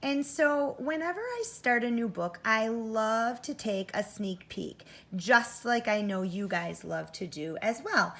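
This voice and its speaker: A woman's voice: on the high side, animated, a little slow, creaky, few pauses, a bit bright. A woman teaching first grade, giving an interactive read-aloud for children, thinking aloud expressively and addressing young listeners directly.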